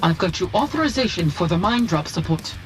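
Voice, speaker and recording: An actor playing a brisk, loyal military officer briefing a general in a strategy game, clipped and businesslike. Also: male voice, high-pitched, big pitch swings. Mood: urgent. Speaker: military adviser briefing the player. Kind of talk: scripted game mission briefing. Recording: game music behind the voice.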